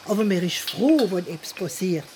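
A woman's voice: lowish voice, lively intonation, normal speed, noisy background, natural voice. An actress playing a chatty, gossipy farm woman in a radio play, with a French-tinged Alsatian accent in her German and French phrases slipped in. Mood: gossipy, confiding. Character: gossipy village farm woman, plain-spoken neighbour in the cowshed.

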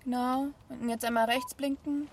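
An insistent traffic officer speaks in a fairly high voice, formally polite but dryly needling. It is naturalistic radio drama with noise behind her. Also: steady tone, moderate pace. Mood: dry, commanding. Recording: noisy roadside scene.

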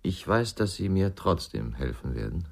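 A man's voice: low voice, very animated, normal speed, gravelly, heavily acted. An actor playing a guarded, stubborn ex-policeman with a curt way of talking in a German radio crime drama. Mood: quietly sure. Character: terse, stubborn ex-policeman, guarded about his past.

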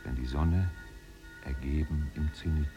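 An actor narrating a 1950s German radio play as a solemn, contemplative storyteller with measured, poetic delivery. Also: male voice, very deep voice, lo-fi sound, music in the background. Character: solemn, contemplative male storyteller of island exiles. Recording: faintly noisy 1950s radio recording.

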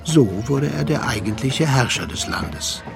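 An actor playing a reflective storyteller, narrating a literary radio drama in a measured, articulate manner. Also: male voice, medium pitch, animated, gravelly. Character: reflective male storyteller recounting a colonial adventure. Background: prominent music.

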